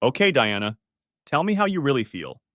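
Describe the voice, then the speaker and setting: A man's voice: mid-range voice, sing-song, normal speed, a bit bright, no room sound, studio quality, voice pushed up. A man voicing a wry, flirtatious husband in an audio story, joking as he talks. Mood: wry banter.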